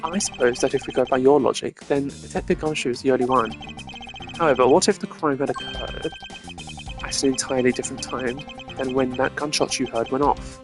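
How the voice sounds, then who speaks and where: A streamer voicing a composed, logical male prosecutor in a game, speaking in a measured, formal way. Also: medium pitch, huge pitch swings. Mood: probing, confident. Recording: game music behind the voice.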